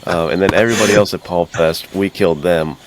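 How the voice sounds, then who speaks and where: A man's voice: deep voice, steady tone, fast, very noisy. A young man co-hosting a podcast, chatting in a slangy, informal, loosely rambling way. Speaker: podcast co-host. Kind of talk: casual chat.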